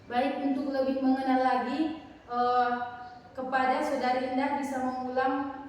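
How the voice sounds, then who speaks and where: A young woman playing a group counselor, calmly explaining the rules of the session to a group of students. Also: high voice, measured pace. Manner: calm, instructive, addresses group as "adik-adik". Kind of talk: role-played counseling session. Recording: echoey room from far off, some noise.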